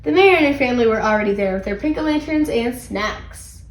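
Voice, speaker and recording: High female voice, expressive storybook narration, animated delivery, echoey room. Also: a little fast. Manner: animated, acts out character dialogue. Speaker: read-aloud channel host. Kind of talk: expressive children's storybook reading.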